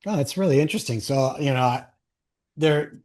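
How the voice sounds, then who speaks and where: A man's voice: medium pitch, moderate pace, a little mellow. A man hosting an interview podcast in a casual chat style, thinking aloud in loose, rambling sentences.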